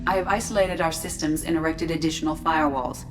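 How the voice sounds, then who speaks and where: An actress voicing a calm starship computer that speaks in a precise, even, clipped way. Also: female voice, low-pitched, fast talker, music in the background, close to an everyday voice. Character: ship's AI, precise, calm, female-voiced. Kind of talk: video game cutscene acting. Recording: game audio with score.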